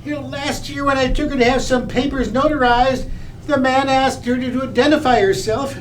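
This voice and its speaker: A man's voice: very high voice, steady tone, moderate pace, slight background noise, poor audio. An actor playing a polite, matter-of-fact male accountant in an old-time radio comedy, with hesitant delivery. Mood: dry, matter-of-fact. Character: polite, dry male income tax accountant.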